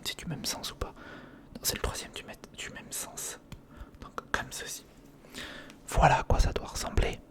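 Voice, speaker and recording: A man speaks in a breathy whisper close to the microphone, murmuring casual hands-on ASMR commentary in fragments, as if thinking aloud. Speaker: ASMR video creator. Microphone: close recorder microphone.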